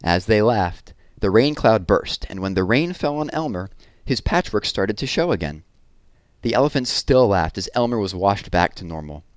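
Low-pitched man reading a picture book expressively. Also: no room sound, clean studio sound. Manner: expressive, with deliberate pauses and drawn-out words. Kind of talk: children's read-aloud narration. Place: small room at home, indoors. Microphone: headset.

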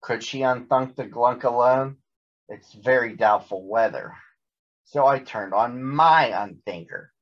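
Slow-paced man reading a children's story. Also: mid-range voice. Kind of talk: read-aloud storytelling for children. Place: small bedroom at home, indoors. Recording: slightly dull, muffled home recording.

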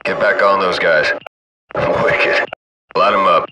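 Deep-voiced male gunship crewman for shooter game: casual, cocky, slangy radio chatter, very loud cabin noise. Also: steady tone, takes it slowly, distant mic. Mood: gleeful, cocky. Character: laid-back, cocky adult male military gunship crewman.

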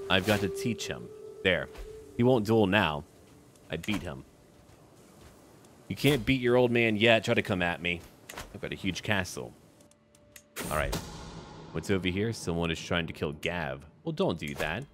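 A man's voice: low-pitched, very animated, measured pace, long pauses, fairly bright tone, a little hiss or hum. A man giving in-character commentary on a strategy game he is playing, thinking aloud in short, clipped remarks as a ruler. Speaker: gaming video host.

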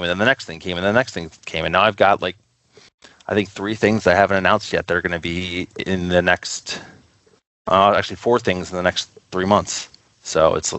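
Man, deep voice, casual podcast guest, enthusiastic rambling storyteller, dry sound. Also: fairly bright tone, no room sound. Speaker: podcast guest, record label co-founder. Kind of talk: casual interview chat.

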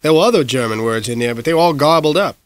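A man's voice: a little high, animated, fast talker, dry recording, natural voice. A man voicing a plainspoken middle-aged cook who talks in a folksy, matter-of-fact way.

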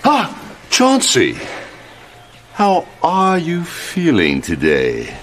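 An actor playing a middle-aged, scheming royal chancellor in a comic video game, smug and theatrical with drawn-out insinuation. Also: male voice, a little high, wildly swooping pitch, a bit bright, a little hiss or hum, exaggerated voice. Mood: oily, smug.

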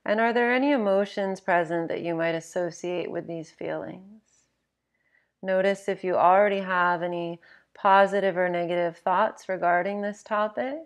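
A woman narrating a guided sleep meditation in a gentle, measured, instructional manner. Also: on the low side, steady tone.

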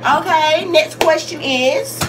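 A woman's voice: sits high, slow, music in the background. A woman in her twenties from Georgia with a Southern US accent, talking casually and repetitively in slang as she answers questions for a vlog. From Georgia, United States. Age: 20s. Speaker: YouTube vlogger.

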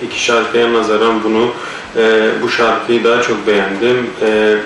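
A man's voice: on the low side, little pitch movement, moderate pace, fairly bright tone, a little hiss or hum. A man answering interview questions hesitantly, with many filler sounds and a blocked nose. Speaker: singer and actor interviewed. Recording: echoey room, mic far off.

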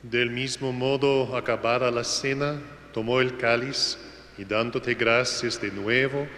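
A man reciting the Mass prayers as a priest, in a slow, solemn liturgical manner. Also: mid-range voice, fairly even intonation, bright, forceful tone. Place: church. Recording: echoey church with noise.

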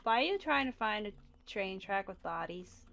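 A woman's voice: medium pitch, sing-song, normal speed, creaky, fairly bright tone, faint music behind. A woman playing a carefree teasing young survivor. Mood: casual. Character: playful young female survivor, sneaky. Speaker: roleplay player. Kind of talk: improvised roleplay.

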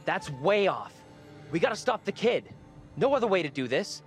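Blunt college guy, animated delivery. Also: high voice, moderate pace, faint music behind. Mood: insistent. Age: young adult. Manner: casual, slangy, urgent. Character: blunt, pushy college-age guy in a horror game. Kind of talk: video game cutscene drama.

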